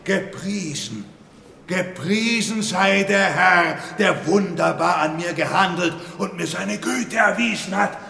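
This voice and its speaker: An actor playing a fervent preacher who declaims psalms solemnly and intensely in a horror radio play. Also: male voice, sits high, expressive, gravelly, bright, forceful tone, exaggerated voice. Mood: exalted, triumphant. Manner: solemn, intense, drawn-out delivery. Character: fervent male preacher praying psalms of fear and vengeance. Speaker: preacher leading a congregation. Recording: echoey church hall, music behind.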